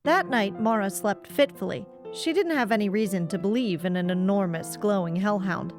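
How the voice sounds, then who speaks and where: A woman narrates a scripted comic story in a medium-pitched voice. Music plays clearly underneath the narration. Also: moderate pace.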